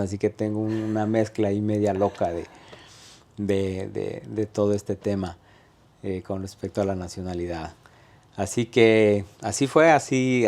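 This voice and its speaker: A man in his thirties with an Ecuadorian accent and a fairly low voice. He talks through his story in a relaxed interview, with frequent fillers and halting restarts as he searches for the next words. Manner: hesitant, many "eh" fillers and restarts. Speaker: guest, restaurant owner. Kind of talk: conversational interview.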